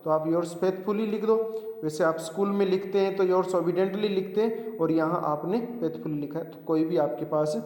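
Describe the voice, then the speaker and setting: A man with an Indian accent, a native Hindi speaker, teaching a bilingual English lesson, deliberately repeating phrases and explaining them piece by piece. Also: high-pitched, a little mellow. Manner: didactic, repeats phrases slowly and deliberately word by word. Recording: echoey, reverberant room.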